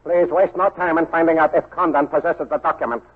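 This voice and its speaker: An actor playing a stern, silkily menacing Japanese official in a 1940s radio drama, formal and imperious. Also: male voice, on the high side, fairly even intonation, fairly quick, breathy edge. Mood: coldly formal. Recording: tinny, band-limited 1940s radio recording.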